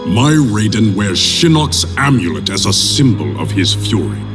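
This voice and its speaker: A man's voice: on the low side, sing-song, moderate pace, distant mic, over music. A man playing a stern, proud warrior king in a video game cutscene, grave and formal. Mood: resolute.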